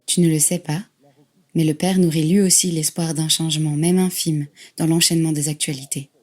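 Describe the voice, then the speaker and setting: Knowing inner narrator, very low female voice, second-person radio fiction. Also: fairly even intonation, a little fast, darkish tone. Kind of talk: scripted audio drama narration.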